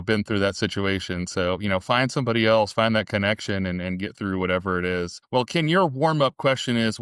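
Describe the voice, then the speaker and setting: A man in his late thirties hosts a podcast, opening with a lightly scripted intro before settling into friendly, reflective questions. His voice is on the lower side. The recording is dry and close. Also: fairly bright tone, clear, resonant tone, no room sound. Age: 30s. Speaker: podcast host. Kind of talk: podcast interview hosting.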